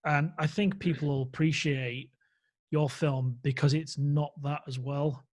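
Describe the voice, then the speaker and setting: A man's voice: on the high side, steady tone, a little slow, a little mellow. A man hosting a podcast interview, chatting casually and enthusiastically, often trailing off mid-sentence. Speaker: podcast host.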